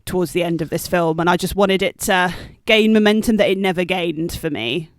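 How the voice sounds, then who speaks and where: High, very clear-voiced man discussing film. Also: brisk, no echo, clean studio sound. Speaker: podcast co-host and film critic. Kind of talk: casual analytical film discussion.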